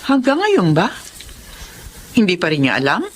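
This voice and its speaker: An actress playing a frank, concerned woman doctor in a Filipino radio drama, expressive and gently probing. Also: on the low side, normal speed. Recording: faint ambient noise behind.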